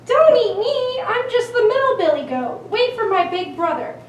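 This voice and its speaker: A woman's voice: very high voice, big pitch swings, normal speed. A woman playing a small young billy goat, timid and pleading in a sing-song way, in a children's puppet show. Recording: echoey room, distant mic, faint noise.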